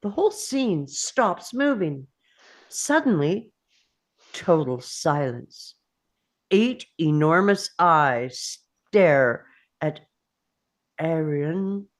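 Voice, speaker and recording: A woman serves as narrator, reading scene descriptions from a script. She takes her time, with a slightly low pitch. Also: big pitch swings, extremely slow, long pauses. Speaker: narrator reading stage directions.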